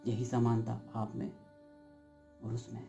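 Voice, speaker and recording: A man performing a stage monologue as a brooding, uncertain everyman, speaking deliberately and philosophically with dramatic emphasis straight to the audience. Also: mid-range voice, monotone, creaky, dark tone, soft background music. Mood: emphatic, questioning. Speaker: actor performing an audition monologue.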